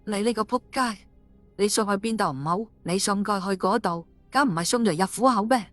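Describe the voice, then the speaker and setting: A woman's voice: medium pitch, fairly quick, no echo, soft background music, close to an everyday voice. Actress as an indignant, blunt policewoman wife, dry sound. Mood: accusing. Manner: blunt, scolding. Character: angry, suspicious policewoman, a wronged, sharp-tongued wife. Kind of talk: audiobook drama dialogue.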